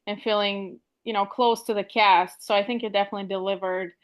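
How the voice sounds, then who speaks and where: A woman's voice: medium pitch, steady tone, brisk. Brief: woman from Bulgaria, interviewer, friendly, casual fillers. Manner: friendly, lots of "like" and "you know".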